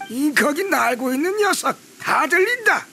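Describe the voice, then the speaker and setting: An actor playing a gruff but good-humored old village carpenter in a video game, with blunt, folksy, teasing speech. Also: male voice, very high voice, big pitch swings, normal speed, bright, forceful tone, a little hiss or hum, exaggerated voice. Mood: jovial, teasing. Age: older. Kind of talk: video game character acting.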